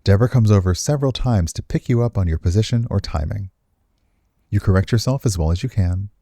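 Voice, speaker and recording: A man's voice: lowish voice, animated, brisk, dark tone, dry recording, clean studio sound. A man reading visual novel text aloud expressively as a game streamer, slipping into casual commentary.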